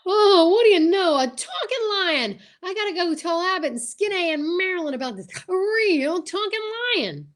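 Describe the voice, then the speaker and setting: A very high, swooping voice for a cowardly comic fellow played by a woman. He stammers and wisecracks in hammy old-time radio comedy style. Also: very animated, moderate pace, few pauses. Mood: cowardly, bemused. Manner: hammy punchline delivery with stammering. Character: Costello, cowardly wisecracking comic man sent lion hunting.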